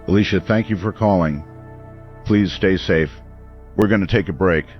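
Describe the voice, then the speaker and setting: Sober male radio host played by an actor, gentle and reassuring; lowish voice, dull lo-fi broadcast sound. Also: normal speed, faint music behind. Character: concerned, reassuring male late-night radio call-in host. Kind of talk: radio drama call-in hosting.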